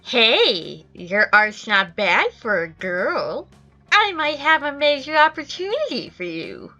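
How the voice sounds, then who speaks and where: A woman's voice: a little high, big pitch swings, slow pace, bright, forceful tone, faint music behind, full character voice. A woman voicing a smug, entitled young male wannabe YouTuber with pompous, whiny boasting in an amateur game dub. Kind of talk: amateur visual novel dub.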